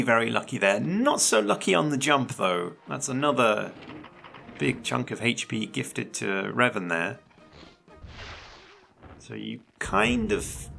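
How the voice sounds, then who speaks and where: A man commentating a video game match live, chatty and analytical, his voice a little croaky. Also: lowish voice, sharp tone. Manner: chatty and analytical, laughs often. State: slightly croaky voice. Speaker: esports commentator.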